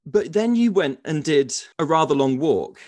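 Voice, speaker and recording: A man's voice: fairly high pitch, animated, moderate pace, darkish tone, dry recording. A man hosting a podcast, reading his introduction and then asking a guest questions in an earnest, enthusiastic way. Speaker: podcast host.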